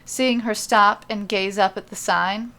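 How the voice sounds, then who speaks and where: Woman, podcast host and storyteller, slow pace, creaky voice, dry self-deprecating humor. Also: mid-range voice, steady tone, bright, forceful tone. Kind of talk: casual rambling podcast intro, then audiobook-style narration.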